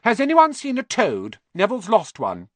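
A man voicing a bossy, know-it-all young schoolgirl who talks very fast. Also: sits high, huge pitch swings, no echo, voice pushed up, exaggerated voice. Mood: eager. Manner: bossy, rapid-fire.

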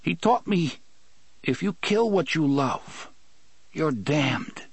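A man voicing a tearful young man who is angry and pleading, speaking in a quick, strained voice raised above the narrator's own. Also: a little high, animated, breathy edge, dry recording, voice pushed up, exaggerated voice. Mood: tearful, desperate. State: crying.

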